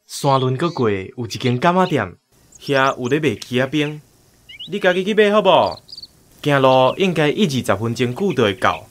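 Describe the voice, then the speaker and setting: A man playing a smooth-talking, jovial travelling photographer in a radio drama, with a Taiwanese accent. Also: mid-range voice, very animated, moderate pace, slight background noise, close to an everyday voice. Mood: easygoing.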